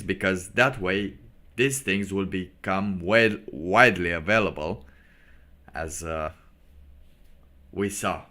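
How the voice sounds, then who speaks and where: A man hosting a casual livestream monologue in a halting, rambling manner with preacher-like asides. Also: low-pitched, bright, forceful tone. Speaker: livestream host.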